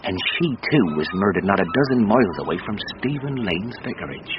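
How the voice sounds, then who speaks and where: An actor playing a respectful, matter-of-fact police officer giving factual reports in a radio drama. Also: male voice, on the low side, expressive, fairly quick, natural voice. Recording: muffled, noisy radio drama recording.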